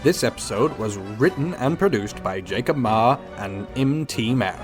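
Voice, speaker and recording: Man, 1940s radio announcer, animated delivery over light music. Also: medium pitch, a little slow. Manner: showy, upbeat, theatrical.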